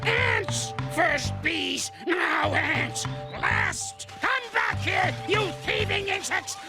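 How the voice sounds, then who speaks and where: A man's voice: very high-pitched, animated, extremely slow, creaky, exaggerated voice. An actor voicing a grumpy, insulting old male ape in a cartoon series, crotchety and scolding. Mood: exasperated. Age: older. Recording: cartoon soundtrack with loud music.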